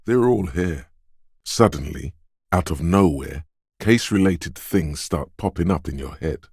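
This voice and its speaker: Detective's inner instinct, video game narration, rumbling low male voice, measured, knowing, quick-witted, dramatic, dry studio sound. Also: expressive, darkish tone, husky, no room sound, full character voice. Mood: tense, narrating. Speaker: voice actor. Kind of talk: second-person game narration.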